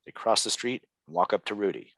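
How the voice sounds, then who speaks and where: A man narrates a screenplay's stage directions. His low voice has a bright, crisp edge and is recorded close and dry. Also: lively intonation, a little fast, no room sound. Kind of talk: scripted narration for a table read.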